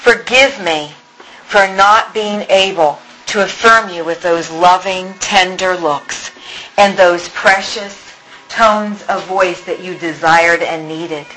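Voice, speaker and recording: A woman speaking a slow, earnest apology prayer in a mother's voice, soft and pleading, leading a healing exercise. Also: on the low side, slight background noise, poor audio.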